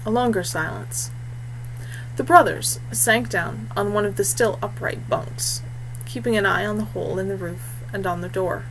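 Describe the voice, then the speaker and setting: A woman's voice: medium pitch, normal speed, a little hiss or hum, low-quality recording. A woman reading a scripted adventure story as narrator. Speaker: audiobook reader.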